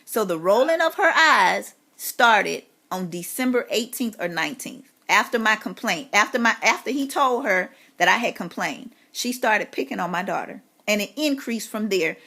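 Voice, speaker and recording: A woman's voice: mid-range voice, lively intonation, normal speed, few pauses, pushed and bright. An aggrieved woman making a long complaint on a phone call, speaking insistently and emphatically. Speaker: caller, parent making a complaint.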